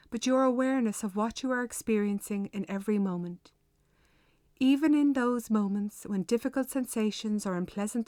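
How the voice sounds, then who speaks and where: A woman leading a guided meditation with measured, unhurried, gently instructive delivery. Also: fairly high pitch, no room sound, clean studio sound.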